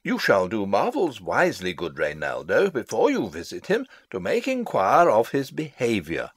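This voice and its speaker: A man's voice: on the low side, huge pitch swings, moderate pace, husky, no room sound, clean studio sound, full character voice. Pompous, rambling old counsellor played by a man. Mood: fussily instructing. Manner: rambling, pompous, theatrical, losing his thread. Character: fussy, long-winded old father and royal counsellor. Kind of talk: dramatic reading of a Shakespeare play.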